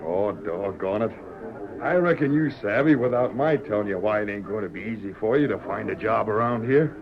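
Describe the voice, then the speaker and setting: Animated folksy Western barkeep. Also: male voice, fairly low pitch, normal speed, a crowd in the background. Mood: friendly, uneasy. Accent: American Western drawl. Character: friendly, folksy Old West barkeep, sympathetic but awkward. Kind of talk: 1940s radio Western acting. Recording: muffled old radio recording, background din.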